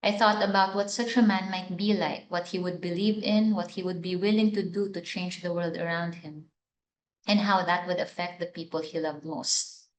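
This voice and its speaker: A woman reads her own writing in a fairly low voice. The sound is noticeably roomy and echoey, as in a literary reading. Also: steady tone, normal speed. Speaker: writer reading own short story. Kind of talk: literary reading of prepared text.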